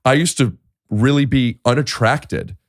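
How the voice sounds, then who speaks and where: A man hosting a podcast in casual conversation, talking in halting stops and restarts with many fillers. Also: mid-range voice, no echo, clean studio sound. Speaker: podcast host.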